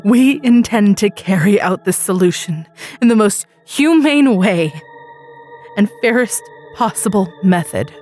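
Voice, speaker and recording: Woman playing a female president, sorrowful, solemn, halting formal address, story reading, background music. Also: mid-range voice, a little mellow, pitched below the performer's own voice, lightly acted. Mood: heavy-hearted, resolute. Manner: halting, solemn, tearful delivery. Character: grief-stricken female president giving a solemn emergency address.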